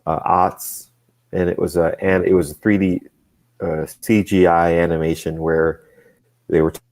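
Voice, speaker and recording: Very deep-voiced man rambling thoughtfully. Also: moderate pace. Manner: thoughtful and rambling, many restarts, speeds up when excited. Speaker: guest. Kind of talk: casual livestream chat.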